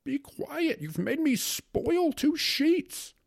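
A man voicing an exasperated, peevish presiding judge in a storybook reading, scolding in sharp outbursts. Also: very high voice, big pitch swings, takes it slowly, gravelly, voice pushed up, full character voice.

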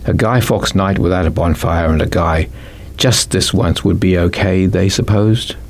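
A man's voice: deep voice, steady tone, moderate pace. A man with a New Zealand accent reading a children's story as scripted narration. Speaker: author reading own book.

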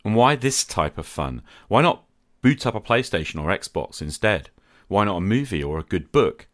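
A middle-aged man hosting a podcast, delivering a reflective scripted monologue in a measured, earnest way with rhetorical questions. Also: fairly low pitch, very animated, no room sound, studio quality. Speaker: podcast host.

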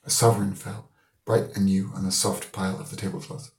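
A man's voice: sits low, little pitch movement, fairly quick, a little mellow. A man with an Irish accent reading literary narration as an audiobook reader. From Ireland. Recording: roomy echo.

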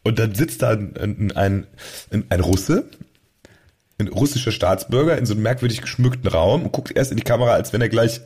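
Playful male podcast host, slightly husky. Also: fairly low pitch, brisk, a little mellow. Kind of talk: casual comedic podcast banter.

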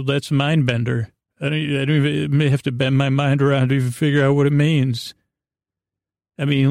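A man hosting a bedtime podcast in a creaky voice, meandering through a goofy, self-deprecating monologue with halting, trailing sentences. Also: medium pitch, darkish tone, no echo, clean studio sound. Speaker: podcast host.

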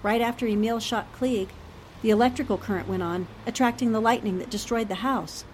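A woman playing an earnest, analytical newspaperwoman in old-time radio drama style, shaken but determined and deliberate in speech. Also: fairly high pitch, a little hiss or hum, close to an everyday voice. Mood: troubled, pondering.

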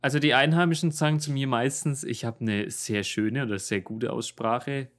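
A young man speaks with a Franconian accent in a laid-back podcast chat, hesitating now and then and laughing often. His voice is middle-pitched and plain, recorded on a home microphone over a video call. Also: fairly even intonation, moderate pace, fairly bright tone. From Franconia, Germany. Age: young adult. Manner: easygoing, laughing, a little hesitant with fillers. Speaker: podcast co-host, language student. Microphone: home mic through an audio interface over video call.

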